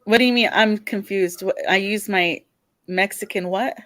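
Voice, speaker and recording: A woman's voice: mid-range voice, normal speed, fairly bright tone, dry recording. A woman with a Californian accent, calling in to a live debate show, talking hesitantly with many restarts and fillers.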